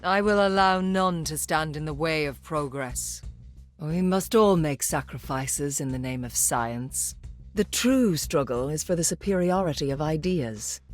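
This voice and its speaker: A woman's voice: low-pitched, a little slow, faint music behind. An actress playing a haughty, brilliant, driven female scientist in a video game, speaking in a confident, lyrical and dryly witty way. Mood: lofty conviction. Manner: well-spoken, lyrical, confident, dry. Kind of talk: video game hero voice lines.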